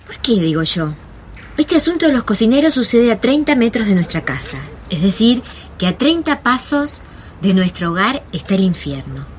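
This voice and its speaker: Woman acting anxious Argentine neighbour, animated voice. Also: fairly high pitch, moderate pace. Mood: anxious, indignant. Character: anxious, indignant suburban woman spying on sinister neighbours. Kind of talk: radio drama monologue.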